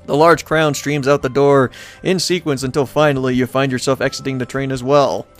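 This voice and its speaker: A man's voice: mid-range voice, steady tone, fairly quick. A man narrates a visual novel in a casual let's play style. He slips between reading and playful, wry remarks, with music running behind his voice.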